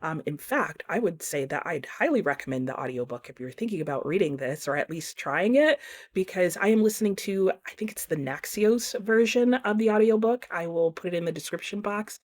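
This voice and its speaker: A woman's voice: on the low side, animated, fairly quick. A woman giving a casual, conversational book review as a vlogger, talking informally with some hedging.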